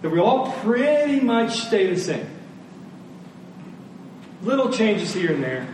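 A man's voice: high voice, sing-song, takes it slowly, a little mellow. A middle-aged man preaching a sermon in a conversational way, putting rhetorical questions to his listeners. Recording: very echoey room, distant mic, faint noise.

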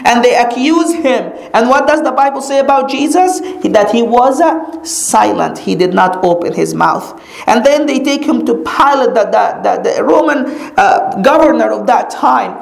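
A woman whose mother tongue is Arabic teaches scripture in sermon style. Her voice sits slightly high and rings out in an echoey room. She leans on repetition, slowing down to stress the key phrases. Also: animated, gravelly. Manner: emphatic, repeats key phrases with slow dramatic pauses. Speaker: Bible teacher.

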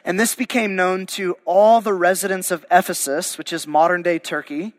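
A man's voice: high-pitched, steady tone, moderate pace, big-room sound. A male pastor, losing his voice, preaching a verse-by-verse sermon in a conversational, informal way. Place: church sanctuary.

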